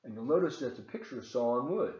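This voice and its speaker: A man's voice: mid-range voice, expressive, a little fast, a little mellow, a little hiss or hum. A man in his seventies reading a children's storybook aloud expressively, with explanatory asides to his listeners. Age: 70s. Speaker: volunteer storybook reader. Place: large open kitchen and living room, indoors. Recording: echoey room, slightly dull webcam sound.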